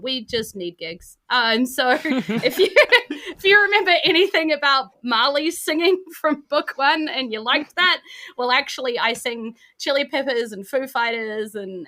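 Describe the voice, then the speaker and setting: Casting: female game master, New Zealand accent, high-ish forward bright tone, bubbly and rambling, casual chat. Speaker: game master and podcast host. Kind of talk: casual unscripted sign-off chat.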